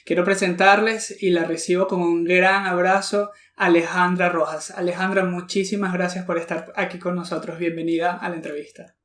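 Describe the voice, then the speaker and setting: Male podcast host, higher voice, gushing and repetitive questions, roomy echo on the audio. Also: little pitch movement, normal speed, few pauses, a bit bright. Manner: effusive, repeats himself while phrasing questions. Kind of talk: friendly interview.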